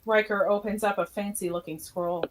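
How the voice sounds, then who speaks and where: A woman's voice: medium pitch, steady tone, normal speed, background noise. A woman reading scripted stage directions as the narrator of an amateur radio play.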